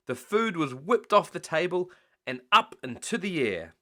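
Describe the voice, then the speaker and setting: A man reading a picture book aloud as a bedtime story, slow and expressive. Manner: slow, expressive reading with lively swings of pitch.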